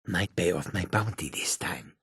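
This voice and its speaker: An actor playing a rough, greedy cat-folk bandit in a fantasy video game, with coarse, clipped, grumbling speech. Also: male voice, deep voice, expressive, moderate pace, fairly bright tone, full character voice.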